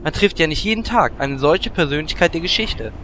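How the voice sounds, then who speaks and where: Man as scheming gnome warlock, highish, very lively intonation, self-absorbed, parody radio play. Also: fairly quick, very noisy, lightly acted. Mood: thrilled. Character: Dimitri, vain, scheming male gnome warlock. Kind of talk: comedic fantasy audio drama.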